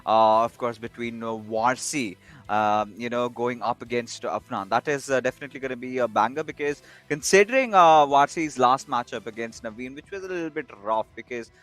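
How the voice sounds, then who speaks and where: A man's voice: medium pitch, normal speed, fairly bright tone. Stammering male esports commentator. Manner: stammering, filler-heavy delivery. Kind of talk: casual commentary.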